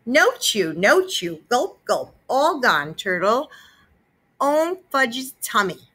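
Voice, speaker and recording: A gleeful little boy acted by a woman reader in a children's storybook. He babbles in sing-song baby talk, high and bright, full of mischief. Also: sing-song, slow, breathy edge, exaggerated voice. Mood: mischievous.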